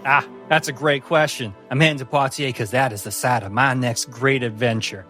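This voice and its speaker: A man's voice: medium pitch, fairly even intonation, moderate pace, sharp tone, faint music behind, lightly acted. A man voicing a tiny, polite, boyishly earnest adventurer in a tabletop game, with a courtly and self-important manner. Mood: eager, confident.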